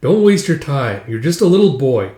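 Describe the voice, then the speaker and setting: A man's voice: fairly high pitch, sing-song, normal speed, mellow. A man voicing an exasperated older sister who scolds a small boy impatiently. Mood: dismissive. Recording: echoey room.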